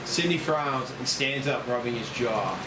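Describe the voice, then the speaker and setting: A man narrates stage directions at a screenplay table read. His voice is of middling pitch. It is recorded in an echoey room with loud, air-conditioning-like noise behind it. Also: measured pace.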